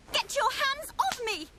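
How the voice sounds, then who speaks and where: A proud young noblewoman from a video game, played by a woman, speaks with a posh British accent. Her fairly high voice is stiffly courteous and formal, over faint background noise. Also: natural voice. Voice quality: posh diction. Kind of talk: video game cutscene acting.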